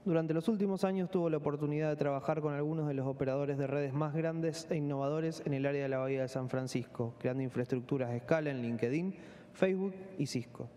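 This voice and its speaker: A man's voice: on the high side, fast, warm tone. A male moderator formally reading out a speaker's introduction at a conference. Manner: formal, read-out. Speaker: session moderator. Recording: hall reverb.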